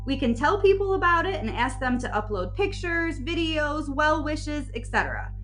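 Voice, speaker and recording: High, lively American woman. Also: moderate pace, faint music behind. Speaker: podcast guest. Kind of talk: casual conversational storytelling.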